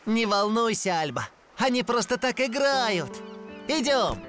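A curious puppy boy from a cartoon, cheerful and giggly. He speaks slowly, with clear music behind him. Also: mid-range voice, big pitch swings. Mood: reassuring. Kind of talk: animated cartoon dub.

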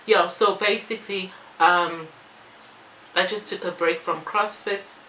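Female beauty vlogger, 20s. Bright, slightly low voice, rambling and informal, echoey room. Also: steady tone, measured pace. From born in Belgium, moved to South Africa. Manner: informal, rambling asides while busy applying makeup. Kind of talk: chatty makeup tutorial and Q&A. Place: small bare-walled room indoors. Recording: echoey room with some background noise.

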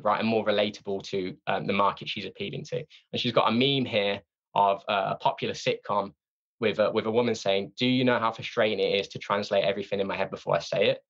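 A man being interviewed on a podcast about his sales training company, talking in an energetic, conversational way and restarting his sentences. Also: on the low side, highs cut off. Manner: energetic, conversational, repeats words when restarting.

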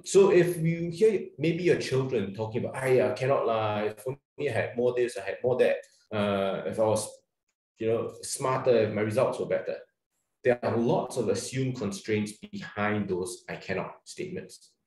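A man's voice: lowish voice, moderate pace, darkish tone, reverberant, low-quality recording. A man with a Singaporean accent leading an online training workshop, chatty and loose, with lots of fillers and restarts. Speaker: webinar trainer. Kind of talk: casual online workshop presentation.

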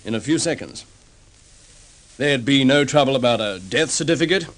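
An actor playing a calm, methodical detective sergeant laying out a case in an old radio drama. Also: male voice, mid-range voice, natural voice. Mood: measured. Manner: measured explaining. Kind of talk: vintage radio drama acting.

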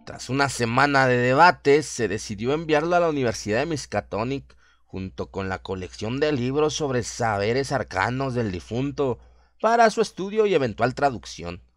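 A man narrates a horror tale in a scripted radio serial style. His voice sits at an ordinary male pitch, with music playing behind it. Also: lively intonation, moderate pace, no echo, studio quality.

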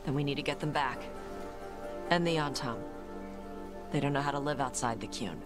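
An actress playing a young horned warrior in a video game, bitter and grieving but determined. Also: female voice, very deep voice, normal speed. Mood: resolved. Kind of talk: video game dialogue acting. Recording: game dialogue with music behind.

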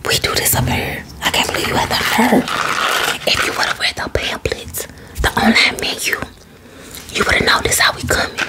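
A woman playing a sassy, defensive salon beautician in a breathy, whispered ASMR roleplay, using colloquial, repetitive patter. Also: lightly acted. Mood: haughty, proud. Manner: slow, sassy, colloquial patter with repeated phrases.

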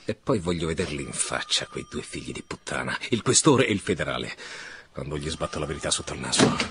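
Police commissioner, radio drama, driven and commanding, very animated intonation, faint traffic noise. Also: male voice, rumbling low, fairly quick, full character voice. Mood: stern, angry. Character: proud, driven wartime police commissioner, male. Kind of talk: Italian radio drama acting. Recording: noisy, with faint vehicle ambience.

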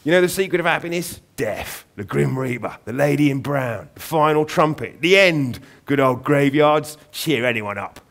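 A man voicing a huge raven with a loud, throaty voice and a London accent, cheerfully morbid. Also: a little high, expressive, moderate pace, creaky, hardly any pauses, voice pushed up. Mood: gleeful.

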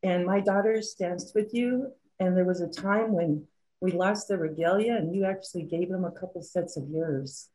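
A woman's voice: on the low side, normal speed, mellow, low-quality recording. A middle-aged woman talking affectionately and chattily over a video call from a room at home. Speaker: aunt speaking in the audience. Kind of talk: warm personal remarks on a video call. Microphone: webcam mic on a video call.

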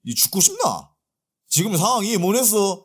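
A woman playing a rough loan shark thug, speaking menacingly. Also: mid-range voice, big pitch swings, normal speed.

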